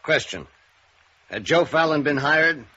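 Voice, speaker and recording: An actor playing a businesslike, dry-witted police sergeant in a vintage radio drama, terse and matter-of-fact. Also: male voice, fairly high pitch, animated, a little slow, sharp tone, slightly rough, muffled recording, full character voice. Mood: businesslike, pondering. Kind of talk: 1950s radio drama acting.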